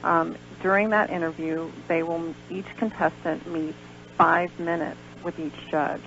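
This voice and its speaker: A dull, lo-fi radio recording with some hum and noise carries an American woman's voice, gravelly with fry. She is a guest explaining things step by step, pausing and hesitating as she goes. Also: sits low, fairly even intonation, a bit bright. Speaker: guest, pageant organizer. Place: radio show.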